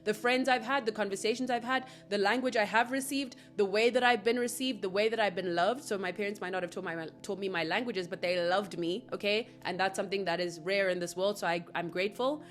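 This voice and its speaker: A woman's voice: mid-range voice, steady tone, a little fast, hardly any pauses, soft background music. Earnest woman musing aloud. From South Africa. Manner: earnest and reflective, musing aloud with soft asides. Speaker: podcast host. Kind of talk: solo spiritual podcast monologue.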